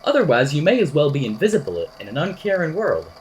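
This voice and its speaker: A woman's voice: low voice, sing-song, fast talker, darkish tone, a little hiss or hum. A woman playing an eccentric, whimsical podcaster in an amateur audio drama, telling a strange tale in a verbose, wry, theatrical way. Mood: grandly whimsical. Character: eccentric, whimsical podcaster recounting a supernatural statement.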